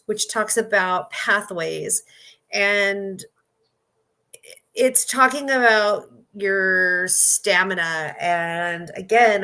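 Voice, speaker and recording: Bright-voiced woman in her fifties. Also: medium pitch. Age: 50s. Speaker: tarot card reader. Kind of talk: casual spoken psychic reading.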